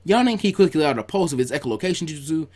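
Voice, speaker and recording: A man reading a scripted story aloud like an audiobook, in an animated, expressive manner. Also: a little high, very fast. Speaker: fan fiction reader, narrator.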